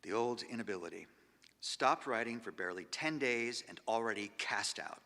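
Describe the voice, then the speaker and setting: A man reads diary entries aloud on stage in a slow, expressive way, letting phrases fade almost to a whisper. His voice is bright and edged with fry. The sound rings with the long reverb of a large hall. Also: mid-range voice. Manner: measured and expressive, dropping to near-whispers on trailing phrases. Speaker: actor giving a stage reading. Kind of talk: dramatic literary reading of diary entries.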